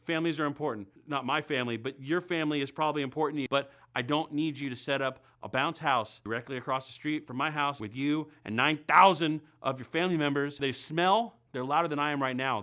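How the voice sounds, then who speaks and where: A man's voice: a little high, sharp tone. An American man hosting a comedy web series, delivering a scripted monologue to viewers in a wry, sarcastic, emphatic way.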